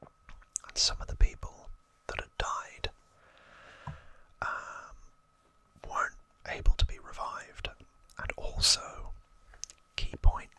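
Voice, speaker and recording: An ASMR host speaks entirely in a breathy whisper, taking their time with every phrase. The sound is crisp and bright, and the delivery is hushed and unscripted, like an informal recap of trivia. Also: low-quality recording. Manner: slow, hushed, unscripted explaining.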